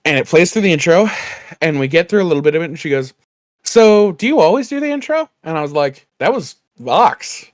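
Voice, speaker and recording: Soft-spoken man with a gravelly edge. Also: on the high side, sing-song, brisk, dry recording, studio quality. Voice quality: soft, slightly raspy and gravelly. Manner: quiet, rambling, many fillers. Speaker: podcast co-host. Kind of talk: casual chat. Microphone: home studio microphone.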